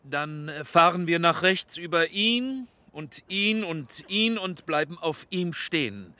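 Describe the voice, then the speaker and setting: Middle-aged movie director in a radio play, bright urgent-edged voice, explains shots emphatically, pretty animated. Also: male voice, fairly high pitch, slow, exaggerated voice. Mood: instructing, patient. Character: weary, accommodating middle-aged film director coaching extras. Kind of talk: German radio drama acting.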